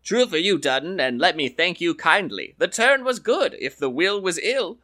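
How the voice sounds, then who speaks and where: A man's voice: high voice, big pitch swings, moderate pace, sharp tone, pitched above the performer's own voice, exaggerated voice. A man voicing a sly young farmer trickster, wheedling and boastful, in a raised voice.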